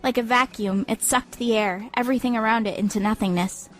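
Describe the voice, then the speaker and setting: A woman's voice: fairly high pitch, steady tone, a little fast, soft background music, low-quality recording. A woman reading scripted, dramatic audiobook narration.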